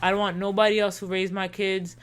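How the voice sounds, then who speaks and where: A woman's voice: mid-range voice, flat delivery, fairly quick, smooth. A woman in her thirties co-hosting a casual podcast, talking earnestly and reflectively, often repeating herself. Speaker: podcast co-host. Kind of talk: casual chat.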